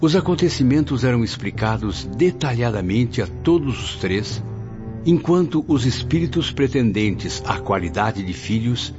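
A man's voice: medium pitch, animated, unhurried, close to an everyday voice. A Brazilian man acting a grave, reflective spirit telling his memoirs in formal, literary radio drama narration. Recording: dull lo-fi radio drama, music behind.

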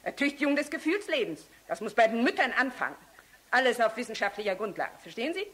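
High-voiced, brazen young fanatic woman. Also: very animated, quick pace, fairly bright tone, breathy edge, heavily acted. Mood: eager, boastful. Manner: cheeky, provocative, haughty. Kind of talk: German radio play acting.

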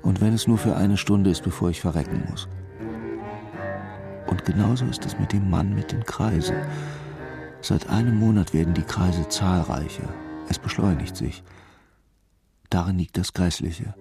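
An actor playing a brooding, fatalistic police detective, confiding ominously in a radio crime drama. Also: male voice, sits low, normal speed, creaky, long pauses, music in the background. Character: brooding, fatalistic male police detective haunted by lost love.

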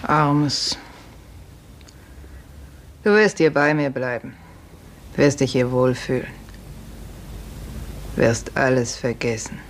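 An actress playing a kindly, resigned serf woman from the stables, soothing and unhurried, in a 1950s German radio drama. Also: a bass voice, heavily acted. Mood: comforting. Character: motherly, weary female stable serf, resigned, kindly comforter. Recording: noisy 1950s radio recording.

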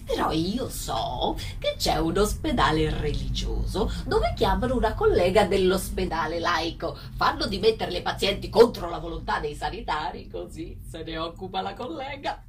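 Woman playing a timid, servile junior doctor, swooping pitch. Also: big pitch swings, normal speed, few pauses, slight background noise. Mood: eager, obsequious. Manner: obsequious, hesitant, stammering repetitions. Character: servile, timid, eager junior hospital doctor, nervously deferential. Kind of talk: theatrical stage dialogue.

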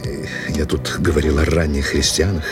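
An actor playing a suave, composed gentleman writer in a radio drama, speaking with courteous irony. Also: extremely low pitch, level tone, over music. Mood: hushed, tender. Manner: courteous, ironic, unhurried. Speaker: actor in an audio play. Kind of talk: Russian radio drama acting.

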